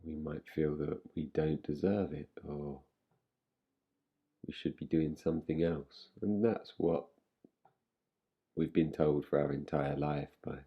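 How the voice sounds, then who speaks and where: A man with a low, husky voice leads a guided relaxation. He speaks gently and soothingly, leaving long pauses between his instructions. There is a creaky fry in his delivery, and he never hurries. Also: steady tone, muffled recording. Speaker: meditation guide. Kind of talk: guided relaxation meditation, yoga nidra.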